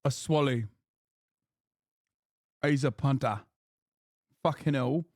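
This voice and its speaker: A man's voice: medium pitch, slow pace, no room sound, clean studio sound. A man streaming casual live commentary, talking in a slangy, profane and mocking way. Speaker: streamer reacting to a news clip.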